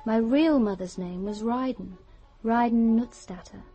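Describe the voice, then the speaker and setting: An actress playing an intense, secretive young British woman in a radio drama, cool, deliberate and self-assured. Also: on the high side, dark tone, muffled recording, lightly acted. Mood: matter-of-fact, boastful. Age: young adult.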